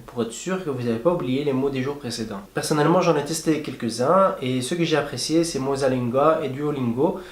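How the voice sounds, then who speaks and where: A young man talks directly to his viewers in a friendly, plain-spoken way, sharing tips as a travel vlogger. His voice sits at an ordinary middle pitch. The room around him rings with noticeable echo. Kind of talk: casual advice vlog to camera. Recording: echoey room.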